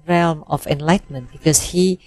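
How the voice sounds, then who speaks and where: A woman interpreting a Buddhist sermon phrase by phrase in a measured way. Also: sits low, steady tone, a little mellow, dry recording.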